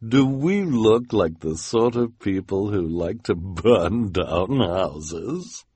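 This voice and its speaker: A man voicing a vain, villainous glamorous woman who flatters in a drawn-out, affected way for a storybook. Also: on the low side, slightly rough, dry recording, heavily acted. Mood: coaxing.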